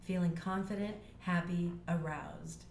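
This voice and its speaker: A woman's voice: fairly low pitch, fairly even intonation, a little slow, creaky, a little mellow, roomy sound, a little hiss or hum. A woman hosting a casual chat, explaining things in a chatty way.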